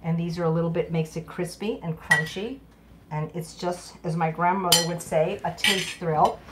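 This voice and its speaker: A woman's voice: on the low side, fairly even intonation, normal speed, very noisy. A middle-aged woman giving a casual live cooking demonstration as chef and host, in an enthusiastic, exclaiming manner. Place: home kitchen, indoors. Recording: clattery kitchen, dishes and clicks.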